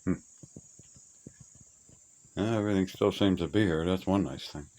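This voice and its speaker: A man's voice: deep voice, normal speed, mellow. A middle-aged or older man rambling casually in a folksy, plainspoken way, musing to himself as he shows his things around. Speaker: hobbyist showing his old radio gear. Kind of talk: casual rambling walk-through. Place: cluttered old room being cleared out. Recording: noisy amateur recording, clicks, insect chirps.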